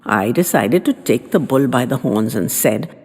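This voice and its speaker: Woman playing a genteel old lady storyteller, animated, reverberant. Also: very deep voice, moderate pace, voice pushed down, heavily acted. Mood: reflective. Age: 70s. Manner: genteel, old-fashioned wording, dramatic storytelling. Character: seventy-year-old woman, genteel, chatty, recounting her day. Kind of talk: dramatised audiobook narration. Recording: long reverb, clear music underneath.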